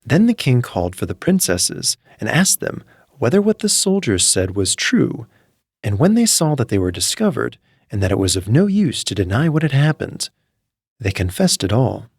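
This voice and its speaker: A man's voice: medium pitch, sing-song, normal speed, darkish tone, breathy edge, no echo, clean studio sound. A man narrating a scripted fairy tale as a storyteller, reading in full literary sentences. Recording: music behind the voice. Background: background music.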